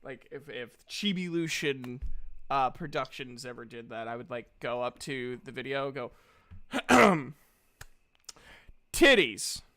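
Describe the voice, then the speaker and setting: A streamer hosting a casual group chat on a livestream, joking crudely and given to animated exclaiming. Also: mid-range voice, slow pace, pauses often. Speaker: streamer hosting a tabletop game session.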